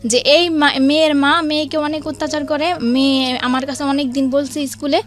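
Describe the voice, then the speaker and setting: A woman's voice: high-pitched, little pitch movement, moderate pace. A woman with a Bangladeshi accent giving a spontaneous personal account as a guest, emphatic, often repeating and quoting others. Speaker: guest recounting a family incident.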